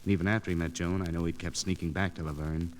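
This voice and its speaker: An actor playing a driven, wisecracking newspaper reporter in a 1940s radio noir drama, recorded on a transcription disc. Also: male voice, sits low, fairly even intonation, quick pace, a little hiss or hum, lightly acted. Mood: wry, brooding. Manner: terse, wisecracking, world-weary. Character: hard-boiled, driven male newspaper reporter narrating his case. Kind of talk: 1940s radio noir acting, wry narration.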